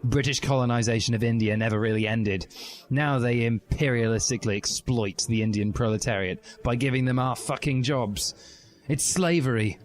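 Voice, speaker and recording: A man's voice: mid-range voice, normal speed, lightly acted. A man voicing a self-important, indignant teenage boy revolutionary in a comic story reading. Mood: grave, fervent. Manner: indignant, bombastic. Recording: music behind the voice.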